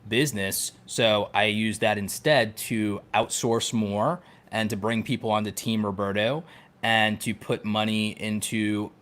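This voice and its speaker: A man's voice: on the low side, steady tone, unhurried, a bit bright. A man co-hosting a podcast in casual conversation, talking with jokey, nerdy enthusiasm. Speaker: podcast co-host.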